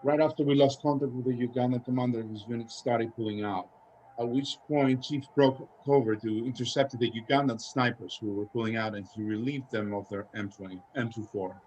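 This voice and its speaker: Casting: male actor as dutiful army sergeant, mid-pitched, clipped and deferential, slightly hesitant, reporting to a superior. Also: steady tone, brisk, a little mellow, dull audio, slight background noise, lightly acted. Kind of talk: screenplay table read.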